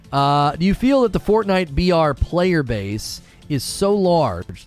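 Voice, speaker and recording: A man in his forties talks through a casual gaming stream at a middle pitch, loose and conversational, with plenty of thinking-aloud pauses. Faint music plays quietly underneath. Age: 40s. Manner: relaxed and conversational, musing aloud with fillers. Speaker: gaming channel host reading live chat. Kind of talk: casual livestream chat.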